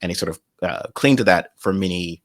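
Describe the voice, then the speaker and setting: A man's voice: low voice, fairly quick, fairly bright tone, no echo, clean studio sound. A man with an American accent hosting an interview, asking questions in a tentative, roundabout, conversational way. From United States. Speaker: interview host.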